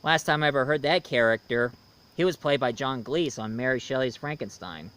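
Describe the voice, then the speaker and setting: A man in his thirties giving a casual, unscripted review as the host, haltingly, often searching for words and repeating himself. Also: medium pitch, fairly bright tone, smooth.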